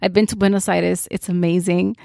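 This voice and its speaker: A woman's voice: lowish voice, little pitch movement, brisk, vocal fry, mellow, dry recording, clean studio sound. A woman from Brazil, hosting a casual interview in a chatty, enthusiastic way. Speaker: podcast host.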